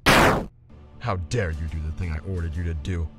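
A man acts out a brooding, hot-tempered teenage sports star for an anime parody. He speaks with pompous, melodramatic scorn. Music plays clearly behind his voice. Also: low voice, moderate pace, close to an everyday voice. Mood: scornful. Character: brooding, arrogant, hot-tempered teenage male volleyball player. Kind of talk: melodramatic comedic fan-dub acting. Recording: music under the voice.

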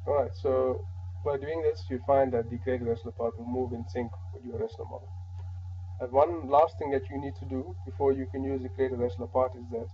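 Flat-voiced man, slightly hoarse tutorial. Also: medium pitch, brisk, lo-fi sound, slight background noise. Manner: steady step-by-step instruction with frequent "alright" and "uh". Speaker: modding tutorial presenter. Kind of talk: screen-recorded software tutorial.

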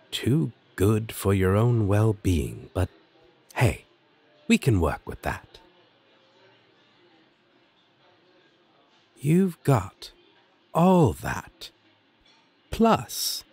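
Husky, flirty demon roommate played by a man. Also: medium pitch, a little mellow, no room sound, heavily acted. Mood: affectionate, flirty. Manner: teasing, casual, drawn-out delivery with dramatic swoops. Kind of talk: scripted audio roleplay addressed to listener.

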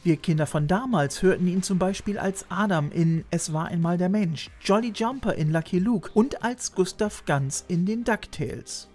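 A man's voice: high-pitched, moderate pace, hardly any pauses, darkish tone, faint music behind. A man in his thirties narrating a scripted piece about voice actors as host, informative with chatty playful asides, recorded at a studio mic in a small room lined with shelves. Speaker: host of a retro TV channel. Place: small room full of shelves of videos and toys. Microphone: studio mic on a boom arm.

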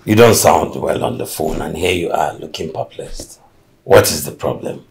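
An actor with a Nigerian accent playing a solicitous middle-aged man in a film drama, gravelly and deliberate. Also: a bass voice, a little mellow, full character voice. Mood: concerned, welcoming. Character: solicitous older man, attentive and persistent. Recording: music behind the voice.

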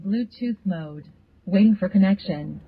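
A woman's recorded voice acting as a device prompt, announcing in short, flat, clipped phrases over car speakers. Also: mid-range voice, a little slow, breathy edge, dry recording. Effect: played through car speakers. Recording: dull, muffled speaker sound.

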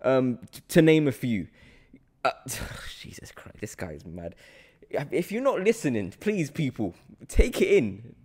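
A man, hosting a casual interview in an effusive, excitable way. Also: mid-range voice, a little slow, breathy edge. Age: 20s. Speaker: podcast host and actor. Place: studio room with large windows. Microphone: podcast mic on boom arm.

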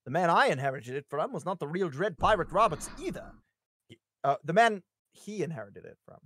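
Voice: mid-range voice, sing-song, fairly quick, fairly bright tone, husky. A performer reading a hero's lines in a casual comedy table read on a group video call, playful and given to ad-libs. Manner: playful, breaking into laughter and ad-libs.

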